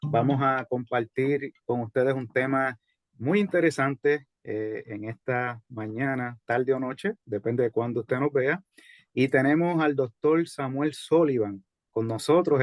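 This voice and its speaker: A man hosts a religious interview in a courteous, slightly halting way, often checking for agreement. His voice has a medium pitch and is recorded dry, with very little room around it. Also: no echo. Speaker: host introducing a guest.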